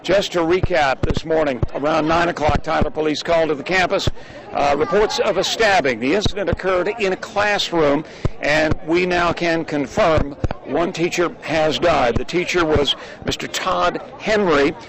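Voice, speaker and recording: An American man giving a live breaking news report from the field, in halting, improvised phrasing. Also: on the high side. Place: outdoors in a crowd. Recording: crowd noise at live location. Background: crowd of people.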